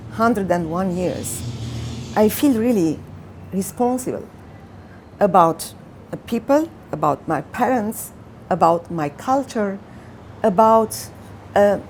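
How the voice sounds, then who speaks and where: Slow, heartfelt woman searching for words. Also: medium pitch, animated, darkish tone, slight background noise. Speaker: community center worker. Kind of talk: interview testimony.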